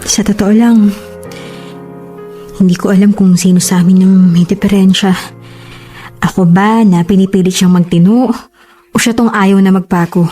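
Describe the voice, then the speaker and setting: A woman's voice: mid-range voice, fairly even intonation, moderate pace, long pauses, warm tone, soft background music, natural voice. A woman playing a devoted, hurt bride-to-be in a relationship drama, speaking emotively with a Filipino accent. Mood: exasperated. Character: long-suffering, devoted bride-to-be, hurt and defensive.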